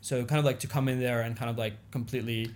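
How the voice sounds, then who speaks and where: A man's voice: mid-range voice, fairly even intonation, quick pace, a little mellow. A young man whose first language is Indonesian, a business owner speaking as a guest in a casual interview, rambling with lots of "you know" and "like". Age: young adult.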